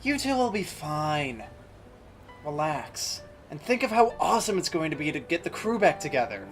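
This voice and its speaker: A man's voice: on the high side, very animated, moderate pace, soft background music. A man acting an upbeat, easygoing young groom and best buddy in an audio drama, breezy and exuberant. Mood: reassuring.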